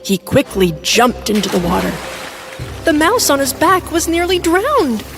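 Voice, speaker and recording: High-voiced, animated woman storyteller. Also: a little slow, vocal fry, music in the background. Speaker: narrator. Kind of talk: scripted children's bedtime story narration.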